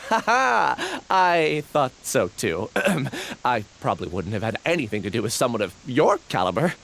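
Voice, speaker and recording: A man's voice: mid-range voice, big pitch swings, moderate pace, creaky, fairly bright tone, a little hiss or hum. A man voicing a polite, hesitant young man with amnesia in a video game. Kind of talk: game cutscene voice acting.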